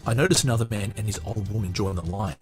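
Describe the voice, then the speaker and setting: Deep voiced guy, podcast book reading with loose casual commentary, faint music. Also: quick pace. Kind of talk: audiobook narration and casual commentary.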